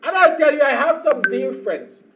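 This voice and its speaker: Very high-voiced Guyanese male host. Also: lively intonation, moderate pace, lo-fi sound, noisy background. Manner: chatty, laughs easily. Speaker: talk show host. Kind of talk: live call-in talk show hosting.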